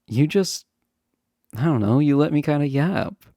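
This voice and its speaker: Man playing a slow, mellow, flirty college guy. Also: a little high, animated, no room sound, clean studio sound. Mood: friendly, coaxing.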